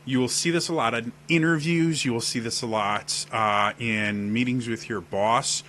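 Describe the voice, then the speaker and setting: A man with a deep voice giving casual on-camera advice about role-playing, demonstrating gestures as he explains. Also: steady tone, normal speed, bright, forceful tone. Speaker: role-playing tips video host. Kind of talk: casual instructional talk to camera. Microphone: webcam setup at desk.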